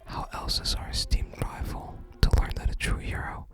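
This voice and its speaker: A person whispering in a soft, breathy, unhurried ASMR style while narrating a video game and reading its text aloud. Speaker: ASMR gaming video host.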